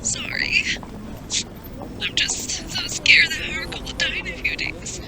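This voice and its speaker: A woman playing a frightened, pleading mother in an audio drama, speaking in a hushed, worried way. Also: very high-pitched, huge pitch swings, measured pace, full character voice. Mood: anxious, pleading. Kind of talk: fan audio drama phone call. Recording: very noisy amateur recording with clicks.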